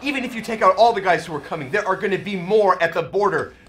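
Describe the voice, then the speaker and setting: A man's voice: high voice, lively intonation, quick pace, a bit bright. An actor playing a resigned, earnest man on the run, pleading with his lover in an audio drama. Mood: agitated, pleading.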